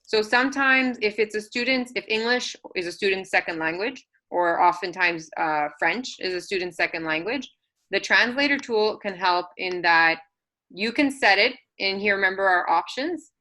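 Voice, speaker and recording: A woman presenting an assistive technology webinar, walking parents through software tools with frequent ums and self-corrections. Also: mid-range voice, expressive, moderate pace.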